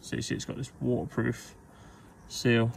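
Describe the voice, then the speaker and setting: Young man, gravelly mid-pitched voice, easygoing boat-fixing vlogger, rambly and unscripted, outdoor action-cam sound. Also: moderate pace, noisy background. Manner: relaxed, rambling, with frequent ums and self-corrections. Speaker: sailing vlogger. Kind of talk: casual how-to narration while working. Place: on a small sailboat outdoors.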